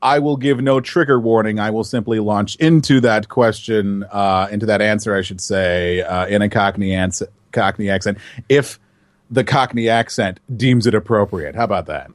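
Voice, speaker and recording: A man who works as a voice actor answers interview questions in a chatty, animated way and laughs easily. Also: on the low side, moderate pace, no room sound, clean studio sound. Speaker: voice actor, guest.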